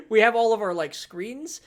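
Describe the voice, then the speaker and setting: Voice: medium pitch, sing-song, slow. A dungeon master hosting a casual tabletop game stream, joking and self-deprecating, often stammering and restarting. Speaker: dungeon master and show host.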